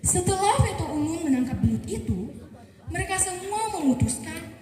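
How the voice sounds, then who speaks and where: A teenage girl from eastern Indonesia telling a folktale in a slow, careful, sing-song storytelling voice. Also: very high-pitched, expressive, warm tone, far from the mic. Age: teens. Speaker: student storyteller. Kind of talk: folktale narration. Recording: echoey room, voice set back from the mic. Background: music playing behind the voice.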